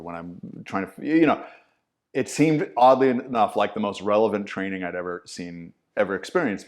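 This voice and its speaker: A middle-aged man, an acting teacher, chatting in a podcast interview and acting out what people said in vivid stories. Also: low voice. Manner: animated storyteller, acts out quotes with swearing. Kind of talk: casual conversational interview.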